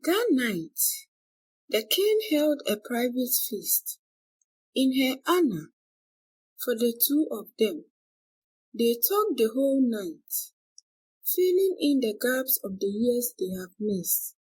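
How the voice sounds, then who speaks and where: A woman tells a folktale in a deliberate, dramatic way. Her voice is fairly high and a little husky. She takes her time, with lots of rise and fall in her pitch. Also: poor audio. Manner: deliberate, expressive storytelling. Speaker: storyteller. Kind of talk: scripted dramatic folktale narration.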